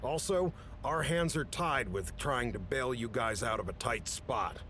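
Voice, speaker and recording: Guy acting as gruff boss chief in an audio drama, measured and matter of fact, bit of rumble behind. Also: animated, sharp tone. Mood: firm. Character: gruff, businesslike male chief briefing agents on a mission. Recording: rumbly background noise.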